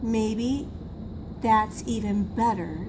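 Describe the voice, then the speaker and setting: A woman reading a children's picture book aloud in an expressive, emphatic storytelling manner. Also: on the high side, drawn-out words. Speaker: school assistant reading to pupils. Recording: noisy room recording.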